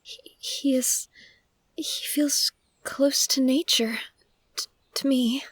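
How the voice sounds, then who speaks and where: A woman playing a shy young nymph in a fantasy audio drama, bashful and hesitant, stammering softly. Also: high-pitched, fairly even intonation, slow, no room sound, whispered.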